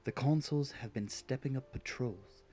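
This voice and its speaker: A man's voice: medium pitch, moderate pace, dark tone, close to an everyday voice. A man playing a cautious, dryly exasperated inventor father in an audio drama. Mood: serious, cautioning.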